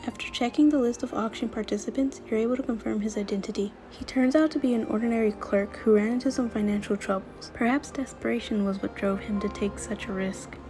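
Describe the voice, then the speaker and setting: A woman narrating a video game story in a measured, scripted style. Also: on the high side, mellow, natural voice, low-quality recording. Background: music.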